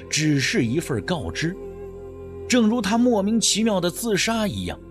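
Man, higher-pitched voice, dramatic audiobook narration, very varied intonation, faint music underneath. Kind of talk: dramatic scripted suspense narration.

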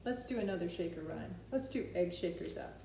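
A woman's voice: fairly low pitch, animated, brisk, gravelly. A middle-aged woman hosting a preschool storytime, giving simple step-by-step prompts to small children. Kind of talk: children's storytime instruction, rhymes and counting. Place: indoors, open living room and kitchen at home. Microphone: camera mic a few feet away. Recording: echoey room with faint background noise.

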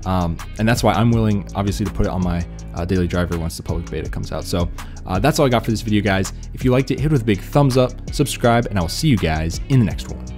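A man's voice: fairly low pitch, fast, music in the background. A man giving casual, laid-back tech news commentary in an informal, slangy way.

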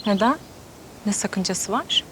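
A woman's voice: mid-range voice, animated, unhurried, fairly bright tone, slight background noise. An actress playing an earnest, vulnerable young woman grieving her mother in a naturalistic TV drama. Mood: polite, uneasy. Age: young adult. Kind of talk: TV drama acting, naturalistic dialogue. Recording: prominent music under TV drama dialogue.

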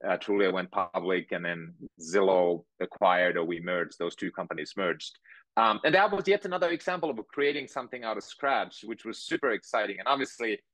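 A man, telling his story in a measured, thoughtful way as a podcast guest on a video call. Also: lowish voice, expressive, a bit bright, dry recording, low-quality recording. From Finland. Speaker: guest entrepreneur. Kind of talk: interview conversation. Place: home room with bookshelves.

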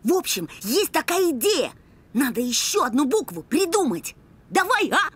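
A woman playing an eager, bossy young boy puppy full of big ideas in an animated cartoon. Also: high voice, moderate pace. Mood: eager, persuasive.

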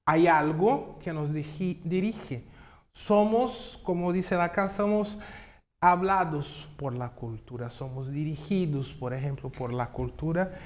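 Slow, halting man in a TV studio. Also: fairly high pitch, lively intonation. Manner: slow, thoughtful, halting with repeats. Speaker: studio guest. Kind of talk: studio interview talk. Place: TV studio set.

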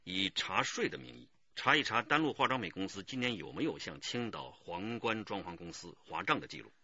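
An actor playing a decisive, authoritative police detective chief giving orders in a radio drama. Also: male voice, low voice, animated, fairly quick, bright, forceful tone, slightly rough, no echo, muffled recording, heavily acted.

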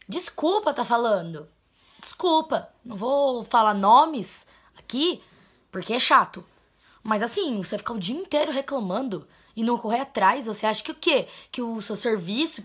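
A young woman, talking into a camera in a small bedroom. Her voice sits a bit high, and she is blunt and emphatic. She drops low, then turns loud to drive a point home. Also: husky. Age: 20s. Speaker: vlogger. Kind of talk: heartfelt vent to camera. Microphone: camera mic at close framing.

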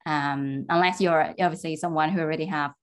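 A young woman with a notably low voice speaks as a startup founder on a panel. She talks haltingly, full of fillers and restarts. She is heard over a video call. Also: steady tone. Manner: hesitant, many fillers and restarts. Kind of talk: panel discussion answer. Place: home room with virtual background.

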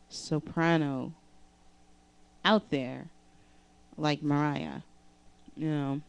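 A young woman vocal coach speaks slowly in a very low, smooth voice, pausing often with fillers and restarts. She holds a microphone in her living room, and the sound is close and dry. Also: mellow, no room sound. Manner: halting, many fillers and restarts. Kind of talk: casual vocal lesson vlog. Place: living room with couch and keyboard. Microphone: handheld microphone.

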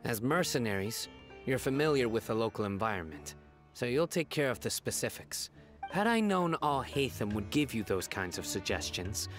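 An actor playing a stern, principled young desert law enforcer in a video game, speaking gravely and formally. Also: male voice, medium pitch, sing-song, moderate pace, faint music behind, natural voice. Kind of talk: video game character acting.

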